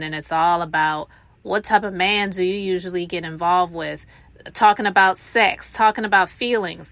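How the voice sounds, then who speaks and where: A woman's voice: on the low side, gravelly, sharp tone, no room sound. A woman giving reaction commentary as the host of a channel.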